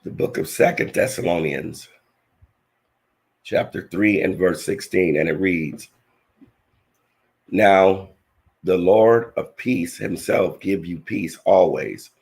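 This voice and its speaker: A man whose voice is almost gone reads Bible verses in a rough, husky rasp. His low voice crackles with fry as he teaches slowly and earnestly, stumbling and restarting now and then. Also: a little mellow. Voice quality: raspy, gravelly. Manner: slow, earnest scripture reading with halting restarts. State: hoarse, voice nearly lost. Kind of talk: scripture-reading lesson.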